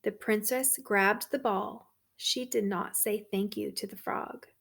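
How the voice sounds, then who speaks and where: A woman reading a story aloud to children, expressive and deliberate. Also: medium pitch, creaky. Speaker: storybook reader for third graders.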